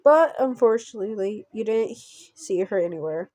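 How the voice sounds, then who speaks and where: A giggly woman reading a visual novel aloud with casual commentary, halting and stumbling over words. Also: medium pitch, expressive, dark tone, dry recording. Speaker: gaming channel host.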